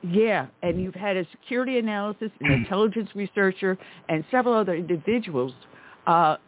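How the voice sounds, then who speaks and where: Woman on a tinny phone line. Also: mid-range voice. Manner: emphatic, indignant. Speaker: talk radio host. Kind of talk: conservative talk radio chat.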